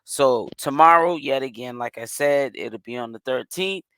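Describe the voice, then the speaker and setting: A hoarse-voiced man talks as a commentary host, casually running through a gossip update. His pitch is middling for a man. The sound is dry and close, with no room around it. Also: moderate pace, a bit bright, no room sound.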